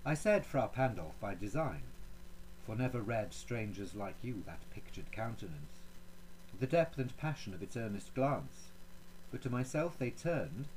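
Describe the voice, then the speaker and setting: A man reciting dramatic poetry as a proud, jealous, coldly menacing Renaissance duke, with haughty, courtly phrasing and pointed pauses. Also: mid-range voice, darkish tone, a little hiss or hum, low-quality recording. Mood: courtly, possessive.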